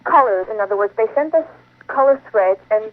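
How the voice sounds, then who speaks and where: A woman's voice: a little high, expressive, brisk. A woman calling an advice show by telephone, explaining a personal problem in a conversational way. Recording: narrow, tinny phone line on radio.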